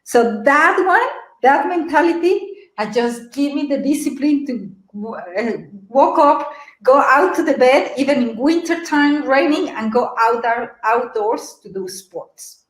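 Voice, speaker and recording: A middle-aged woman from Mexico City with a Mexican Spanish accent, giving a lively, animated motivational talk on a webinar and asking her audience questions. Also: high-pitched, measured pace, reverberant, poor audio. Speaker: webinar presenter.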